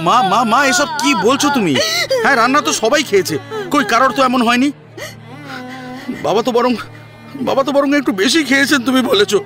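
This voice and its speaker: A man's voice: very high-pitched, fairly quick, pauses often, music in the background, voice pushed up. A man playing an upset adult son pleading with his mother in an audio drama, stammering and repeating himself. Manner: agitated, stammering repetition.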